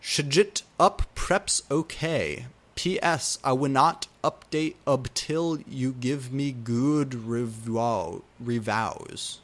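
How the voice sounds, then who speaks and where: A man's voice: mid-range voice, slow pace, hardly any pauses, a bit bright. A man voicing a defiant teenage girl fanfiction author, whiny and indignant, with slangy mispronunciations. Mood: petulant.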